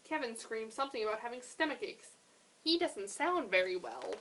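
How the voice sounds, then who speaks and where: A woman's voice: a little high, expressive, moderate pace, fairly bright tone, slight background noise, poor audio. A woman voicing an anxious, well-meaning female hippo in a storybook, urgent and exclamatory. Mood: worried, urgent.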